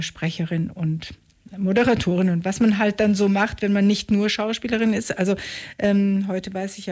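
A woman's voice: mid-range voice, darkish tone. A middle-aged woman from Israel, a radio interview guest, recounting her life in a reflective way with frequent hesitations.

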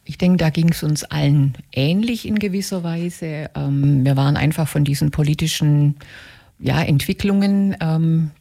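A woman, a group member talking in a relaxed interview with frequent fillers. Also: sits low, a little slow, creaky. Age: 60s. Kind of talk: casual interview talk.

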